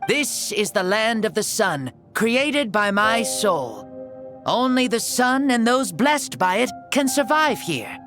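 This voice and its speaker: A woman's voice: fairly high pitch, very animated, slow pace, music in the background. A woman voicing an ancient male warrior god stuck in a boy's body, playful and boastful with a grand manner. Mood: grandiose.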